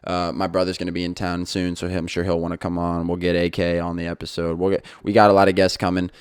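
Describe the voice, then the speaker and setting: Solo podcast host, young male, low monotone delivery, easygoing and rambling. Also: fast talker, smooth, dry recording. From England. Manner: easygoing, rambling, with many fillers and restarts. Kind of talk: casual solo podcast talk.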